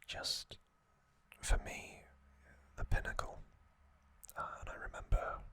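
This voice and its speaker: A man in his thirties whispering casual fan commentary as an ASMR host, soft and unhurried with long pauses.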